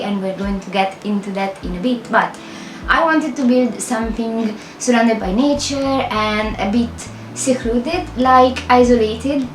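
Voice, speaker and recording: A woman's voice: on the high side, echoey room, low-quality recording. A young woman from Italy with an Italian accent, chatting through a rambling, self-correcting voiceover for her game build videos. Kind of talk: casual voiceover over gameplay.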